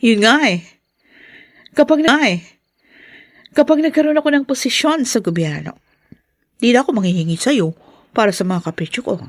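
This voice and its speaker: A woman's voice: a little high, animated, normal speed, long pauses, no echo, clean studio sound. An actress playing a spoiled, ambitious woman of a political family in an audio drama, with a playful, exclaiming delivery. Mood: playful, scheming. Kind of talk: melodramatic audio drama acting.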